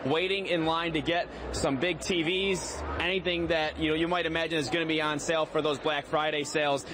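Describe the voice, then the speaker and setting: A man's voice: fairly high pitch, fairly even intonation, a little fast. An American man giving a live television news report from the field. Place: outside a busy shopping mall. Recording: clear outdoor crowd and street noise.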